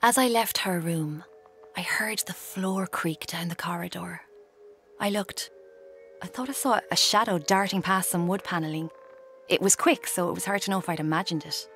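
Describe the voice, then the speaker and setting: An actress playing a watchful woman in her twenties, narrating her own story in a confiding, measured, wry way for a radio drama. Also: on the low side, a bit bright, soft background music. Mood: hushed, wry. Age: 20s.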